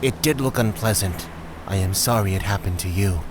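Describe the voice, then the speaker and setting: A man's voice: fairly low pitch, moderate pace, background noise, lightly acted. A man playing an uncertain, sympathetic cave-dweller in a fantasy audio drama, hesitant and formally worded.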